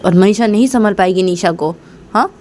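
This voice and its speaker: A woman's voice: mid-range voice, a little fast, darkish tone, lightly acted. A woman voicing a firm, protective older mother in a family story, insisting and reproaching as she repeats herself. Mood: insistent.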